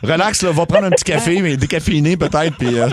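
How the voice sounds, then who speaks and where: A man's voice: fairly high pitch, animated, fast talker, heavy background noise. A man with a Quebec French accent hosting a radio show with informal, jokey banter.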